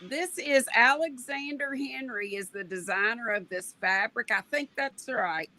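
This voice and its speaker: A woman's voice: fairly high pitch, animated, unhurried, bright, forceful tone. An older woman with a North Carolina Southern accent, chatting casually in a folksy way on a video call. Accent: North Carolina Southern American. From North Carolina, USA. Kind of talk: casual chat.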